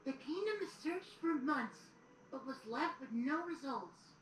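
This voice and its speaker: A woman's voice: high-pitched, lively intonation, a little slow. A woman playing a young boy dragon assistant who reads history aloud in an audio drama. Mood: earnest storytelling. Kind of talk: audio drama storybook narration. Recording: roomy, distant, noisy replayed audio.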